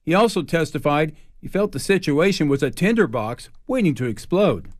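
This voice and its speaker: A man's voice: fairly high pitch, animated, normal speed, dry recording, clean studio sound. A man reading scripted news as a radio anchor.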